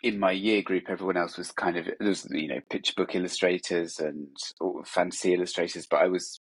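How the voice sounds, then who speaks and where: A man's voice: sits low, fairly even intonation, brisk, breathy edge, dry recording. A man being interviewed over a video call, talking his way through his answers with plenty of hesitations and filler phrases. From England. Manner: hesitant, many fillers and "sort of". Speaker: guest author and illustrator.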